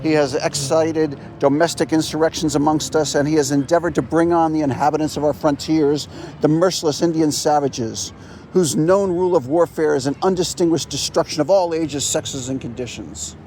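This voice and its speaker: A man's voice: fairly high pitch, moderate pace, a little mellow, a little hiss or hum. A man reading a historical document aloud, earnestly, leaning into each item of a list. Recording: clear music behind the voice.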